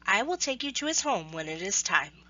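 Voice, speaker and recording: Woman as a wily, bright-voiced storybook hare. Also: mid-range voice, very animated, normal speed, lo-fi sound. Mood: sly. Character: wily, bossy trickster hare in a folktale.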